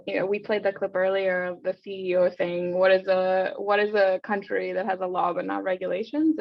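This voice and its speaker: A woman hosting and moderating an online panel discussion, speaking conversationally with frequent hesitant pauses. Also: mid-range voice, vocal fry, no room sound, dull audio. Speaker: host and moderator of an online event.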